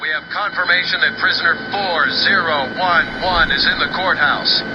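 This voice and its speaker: An actor playing a brisk, obedient security pilot making clipped, procedural military reports in a game cutscene. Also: male voice, a little high, steady tone, muffled recording, noisy background, lightly acted. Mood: businesslike. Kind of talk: video game cutscene acting.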